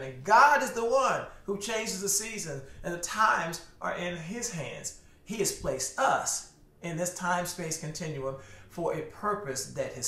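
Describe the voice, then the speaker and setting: A middle-aged male pastor delivers a sermon in an earnest, preacherly way, his voice sitting a little higher than most men's. He speaks from a home study to a camera on the desk, and the room gives the sound a noticeable echo. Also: a bit bright. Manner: earnest, preacherly, with repeated words and rising emphasis. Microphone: camera at desk, head and shoulders framing.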